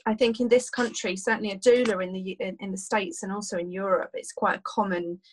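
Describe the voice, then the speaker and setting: Doula with a tiring voice. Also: female voice, mid-range voice, steady tone, fast talker, slight background noise, low-quality recording. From United Kingdom. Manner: rambling, many fillers and restarts. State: losing her voice. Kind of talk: casual podcast interview.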